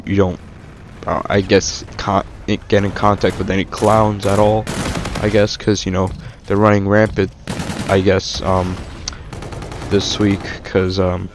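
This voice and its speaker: A man's voice: lowish voice, a little hiss or hum. A young man casually reviewing a shooter game over gameplay, rambling with many fillers and restarts. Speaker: gaming YouTuber.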